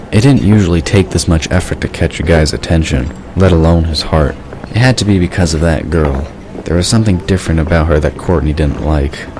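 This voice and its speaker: Very deep-voiced male story narrator. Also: background noise.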